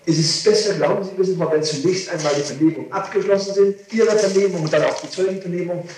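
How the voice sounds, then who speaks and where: A man's voice: sits high, quick pace, a little mellow. A man speaking as a lawyer advising his client, insistent and placating. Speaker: defense lawyer. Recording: very echoey, noisy archival tape, distant mic.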